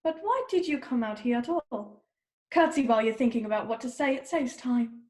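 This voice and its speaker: A woman's voice: a little high, fairly quick, darkish tone, reverberant, natural voice. A woman playing an imperious, scolding queen in a children's readers' theater performance. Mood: haughty, scolding. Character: bossy, haughty queen ordering a young girl about.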